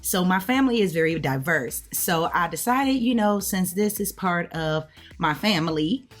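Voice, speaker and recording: An American woman with a low voice speaks in a casual vlog style, addressing viewers directly. She explains things conversationally and often checks in with small tag words, over faint music. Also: lively intonation, normal speed. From United States. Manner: conversational, checks in with okay, right, all right. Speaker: language learner hosting a video channel.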